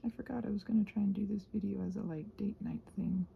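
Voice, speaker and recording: A woman rambling casually as a video host, thinking aloud and trailing off, her voice husky and breathy and often sinking toward a whisper. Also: medium pitch, steady tone, quick pace, lo-fi sound, slight background noise. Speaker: video host and ASMR creator. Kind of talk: casual rambling craft chat.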